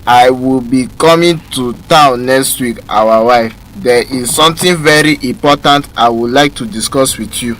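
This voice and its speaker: An actor with a Nigerian accent playing a lively, cheeky son in a radio drama, slangy and excitable. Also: male voice, medium pitch, a little slow, few pauses, slight background noise, close to an everyday voice, poor audio. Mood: reading aloud.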